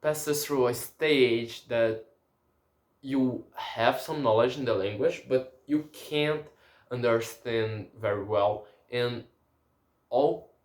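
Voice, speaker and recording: A man's voice: medium pitch, slow, roomy sound. A man whose first language is Portuguese, speaking a casual language-learning diary and often restarting and searching for words.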